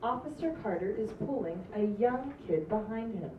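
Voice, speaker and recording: A woman's voice: mid-range voice, expressive, normal speed. A woman reading stage directions as scripted narration at a table read. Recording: echoey, dull, noisy, distant room recording.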